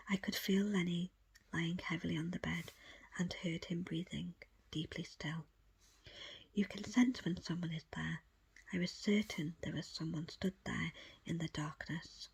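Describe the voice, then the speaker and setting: Breathy whispering woman reading aloud. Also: lowish voice. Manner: hushed, measured storytelling. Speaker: audiobook reader. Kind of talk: ASMR whispered book reading of a first-person account.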